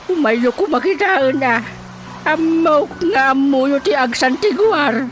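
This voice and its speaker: High-voiced Ilocano village woman. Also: animated, takes it slowly. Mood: worried. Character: worried village woman seeking a folk healer's help. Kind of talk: Ilocano radio drama acting. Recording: faint music behind the voice.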